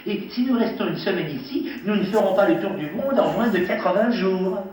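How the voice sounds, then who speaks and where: Earnest polite cartoon butler, high voice, bit far from mic. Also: male voice, animated, fairly quick, a little mellow. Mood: respectful concern. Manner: deferential, fussy, formal. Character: loyal, polite, earnest male manservant. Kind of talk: French cartoon dub acting. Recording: very echoey, distant, faintly noisy cartoon soundtrack.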